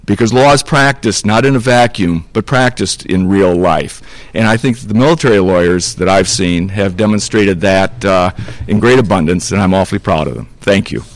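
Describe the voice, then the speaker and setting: A man giving a panel speech to an audience, halting and repeating phrases for emphasis. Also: medium pitch, no echo. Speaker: panelist, lawyer.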